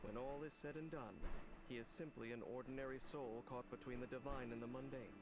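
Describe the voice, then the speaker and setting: A man's voice: mid-range voice, fairly even intonation, brisk. A man reading scripted narration for a game character showcase. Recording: loud music under the voice. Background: loud music.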